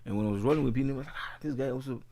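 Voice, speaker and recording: A man's voice: mid-range voice, very fast, mellow. A man talking casually in an interview as a musician, laid-back, full of slang and fillers. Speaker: guest musician.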